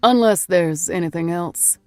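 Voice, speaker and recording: A woman's voice: on the low side, measured pace, warm tone, no room sound, faint music behind, close to an everyday voice. A woman voicing a guarded male American federal agent in an audiobook, with a dry, laconic manner. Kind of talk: audiobook character voice.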